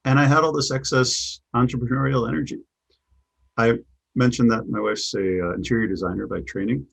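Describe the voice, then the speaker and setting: A man's voice: medium pitch, fairly even intonation, fairly quick, vocal fry, fairly bright tone, husky, rough recording. A middle-aged man with a Chicago accent, answering interview questions in a thoughtful way, often repeating words as he restarts. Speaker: guest, business coach.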